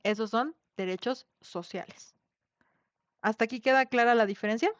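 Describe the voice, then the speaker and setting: A woman's voice: fairly high pitch, normal speed, no echo. A woman with a Mexican accent teaching an online class in an informal, conversational way, often checking in with tag questions. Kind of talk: informal lecture with questions to students. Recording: dull lo-fi online class audio.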